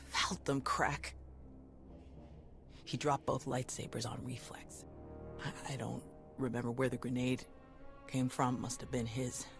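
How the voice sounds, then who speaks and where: A woman playing a tough, battle-hardened Jedi security chief in a video game, speaking in a blunt, sardonic, clipped way. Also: very deep voice. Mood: grim, haunted. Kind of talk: video game cutscene voice acting. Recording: music behind the voice.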